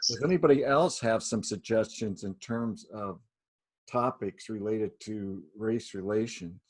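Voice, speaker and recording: A man's voice: lowish voice, measured pace. A man moderating a group discussion. He keeps his turns short, thanking each speaker and inviting the next. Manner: brief, thanking and calling on speakers.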